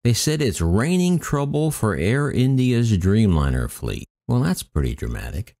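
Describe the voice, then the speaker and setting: Guy narrating airline news off a script, dark mellow voice, pretty lively delivery. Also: fairly low pitch, measured pace. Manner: reads news reports aloud with occasional asides. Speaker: aviation channel narrator. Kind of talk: scripted news narration read over footage.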